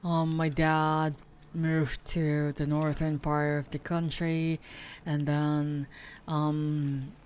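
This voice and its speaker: A woman's voice: low-pitched, level tone, very slow, darkish tone, clear, resonant tone. A Filipino woman whose first language is Tagalog, chatting casually while streaming a game, hesitant and full of fillers and restarts. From Philippines.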